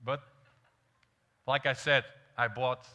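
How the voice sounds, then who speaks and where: Young guy giving a keynote, super bright tense voice, big hall echo. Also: mid-range voice, takes it slowly, dull audio. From Portugal (Azores). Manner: animated, storytelling, asks the crowd questions. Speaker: keynote speaker. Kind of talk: energetic conference talk. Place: large dark stage. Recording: hall reverb on stage.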